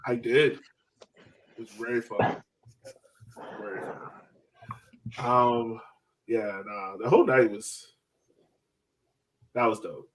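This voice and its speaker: A man chatting casually as a sports fan podcast host, excited and slangy, swearing and repeating phrases for emphasis. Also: mid-range voice, slow, pauses often, far from the mic, slight background noise, poor audio.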